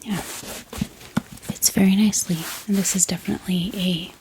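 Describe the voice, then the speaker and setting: A woman speaking in a breathy whisper, gently and unhurriedly, in a casual ASMR show-and-tell style. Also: mid-range voice, steady tone. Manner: gentle, unhurried, hesitant pauses. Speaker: ASMR book haul vlogger. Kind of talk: soft-spoken whispered ASMR, casual and unscripted.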